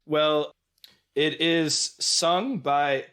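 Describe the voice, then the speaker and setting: Podcast co-host, thirties, voice worn by a cold, rambling and laughing easily. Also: male voice, a little high, drawn-out words, fairly bright tone, clean tone. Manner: rambling, self-correcting, laughs often. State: has a cold, losing his voice. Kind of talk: casual chat.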